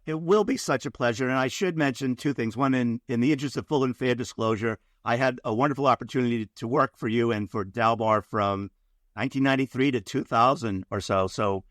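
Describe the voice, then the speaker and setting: A man hosts a podcast interview and asks his questions in a loose, conversational way. His voice sits in a middle pitch and sounds close and dry, with no room around it. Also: brisk, fairly bright tone, dry recording. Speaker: podcast host.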